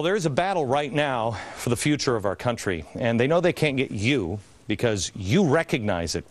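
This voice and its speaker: A man in his forties hosting an opinion TV show in a large studio, talking in an indignant, sarcastic, theatrical way. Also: medium pitch. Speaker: TV host. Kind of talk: opinion TV commentary. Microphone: studio mic, standing at camera.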